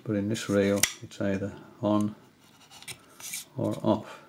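An older man at home giving a hesitant, casual tutorial, often pausing with fillers as he shows his reels. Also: on the low side, monotone, creaky, noisy background. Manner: hesitant, many fillers and restarts. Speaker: angling hobbyist giving a tutorial. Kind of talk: casual explanatory demonstration. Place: indoors, small room at home.

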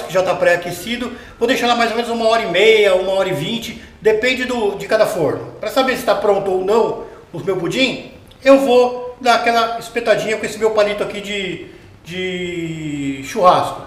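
Chatty high-voiced man cooking at home. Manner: chatty, explains patiently, often checks with the viewer. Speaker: home cooking show host. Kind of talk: step-by-step cooking demonstration. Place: home kitchen, indoors. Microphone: camera mounted overhead. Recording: echoey kitchen with light background noise.

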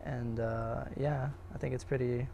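A man's voice: medium pitch, fairly even intonation, a little slow, vocal fry, crowd noise. A young man, a student filmmaker, answering interview questions casually in a hesitant, self-deprecating way. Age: young adult.

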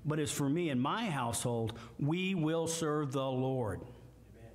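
An older man with a Midwestern American accent, a guest preacher giving a slow, emphatic sermon with scripture readings from a church lectern. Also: fairly high pitch. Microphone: lectern mic, far camera. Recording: echoey church hall from far off.

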